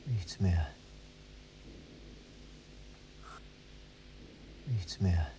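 An actor playing a resigned young prisoner in a radio drama, talking in terse, subdued near-whispers. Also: male voice, low voice, expressive, takes it slowly. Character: young male prisoner, resigned, yielding cellmate. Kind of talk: literary radio drama dialogue. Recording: distant mic, noisy with hum.

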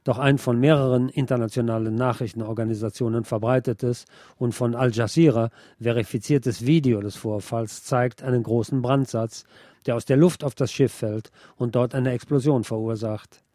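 A man presenting scripted political news commentary in a measured, formal delivery. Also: medium pitch, steady tone, mellow, no echo, studio quality.

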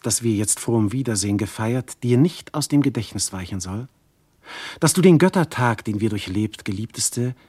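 A proud, amorous god in a verse radio play. His voice is husky and swings widely from rapture to breathy softness, slow and savoring, very close and dry. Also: medium pitch, no room sound. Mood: ardent pleading. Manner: theatrical, rapturous, dropping to breathy whispers. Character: amorous proud god posing as a woman's husband. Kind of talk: classical verse radio drama acting.

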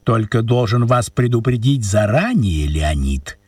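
Eccentric wizard, wildly swooping intonation. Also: male voice, medium pitch, sing-song, darkish tone, husky, dry recording, studio quality, distant mic. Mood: placidly lecturing. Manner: theatrical, unhurried, swooping intonation. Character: eccentric, unflappable male wizard, chatty and absent-minded. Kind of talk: radio play acting.

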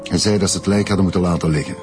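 A man's voice: sits low, steady tone, very fast, loud music behind the voice, natural voice. An actor with a Flemish accent playing a cynical, crooked male building contractor in a radio drama, blunt and scornful. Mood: cynical, resigned.

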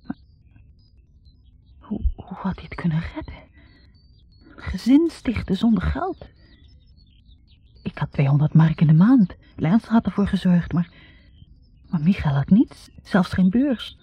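An actress playing a bitter, reflective young woman academic in a 1960s radio drama, speaking in a halting, confessional way. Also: fairly low pitch, big pitch swings, slightly rough, no echo, soft background music, exaggerated voice. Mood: conflicted, regretful. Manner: halting, confessional, repeating words. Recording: muffled 1960s radio recording.

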